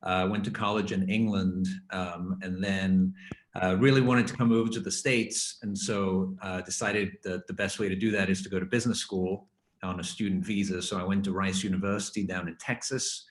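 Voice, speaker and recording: Deep-voiced man from Belgium, echoey room. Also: steady tone. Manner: unhurried, with frequent restarts. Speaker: guest, finance founder. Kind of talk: casual interview talk. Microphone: video call.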